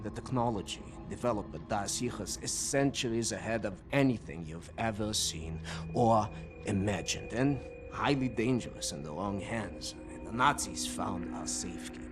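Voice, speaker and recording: A man playing a hushed secretive old inventor. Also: on the low side, over music, close to an everyday voice. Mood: earnest. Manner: earnest, hurried instructions. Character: secretive old male inventor, urgent, mystical. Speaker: engineer. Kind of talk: video game cutscene acting. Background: loud game score.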